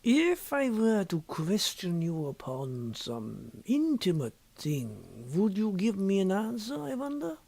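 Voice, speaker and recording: Man voicing a secretive scholar, sly, impassive, measured formal phrasing, audiobook dialogue, high swooping pitch, slightly husky. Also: wildly swooping pitch, a little mellow, no room sound, exaggerated voice. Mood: sly, probing. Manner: measured, deliberate, formal, lingering on words.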